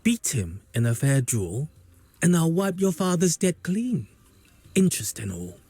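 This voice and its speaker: A man's voice: a little high, very animated, unhurried, mellow, slightly rough, dry recording, faint music behind, heavily acted. Swaggering, menacing male game villain. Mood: sly, taunting. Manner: taunting, swaggering. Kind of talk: video game voice acting.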